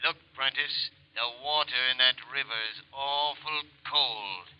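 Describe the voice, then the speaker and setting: Menacing, dull-sounding phone extortionist. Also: male voice, mid-range voice, clean tone, no echo. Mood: taunting. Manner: drawn-out, folksy menace. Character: menacing, taunting male extortionist phone caller. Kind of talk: 1940s radio acting. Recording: dull 1940s radio recording.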